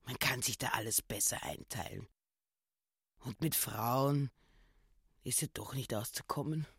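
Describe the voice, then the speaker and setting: A sanctimonious husky housekeeper. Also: female voice, a bass voice, unhurried, fairly bright tone, no echo, studio quality, exaggerated voice. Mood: complaining. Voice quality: husky, mutters to herself. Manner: sanctimonious, indignant. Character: stingy, prim middle-aged female housekeeper. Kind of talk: radio play acting.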